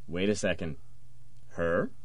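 An actor playing a nosy, teasing bar companion in an audio drama, talking in a casual, curious way. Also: male voice, low voice, slow, slightly rough, exaggerated voice. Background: music.

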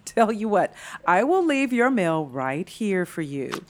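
A woman playing a chatty, patient, gently wry small-town housemate in a naturalistic audio drama. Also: mid-range voice, sing-song, a little slow. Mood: friendly, tentative.

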